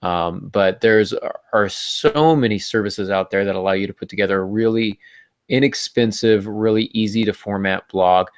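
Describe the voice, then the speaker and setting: A man's voice: lowish voice, normal speed, clean tone, no echo. A middle-aged man hosting a podcast in a casual, joking, tongue-in-cheek way. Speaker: podcast host.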